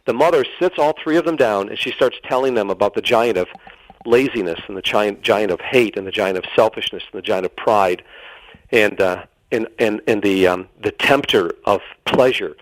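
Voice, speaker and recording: A man's voice: medium pitch, no room sound, rough recording. A middle-aged American man telling dramatic anecdotes as an interview guest, quoting dialogue as he goes. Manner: dramatic storyteller, quotes dialogue.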